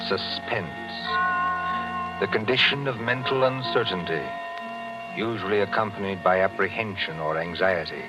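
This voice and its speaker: Deep ominous vintage radio narrator, male. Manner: deliberate, ominous, theatrical. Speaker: narrator and announcer. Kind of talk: 1940s radio dramatic narration. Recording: muffled old radio recording with music. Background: music.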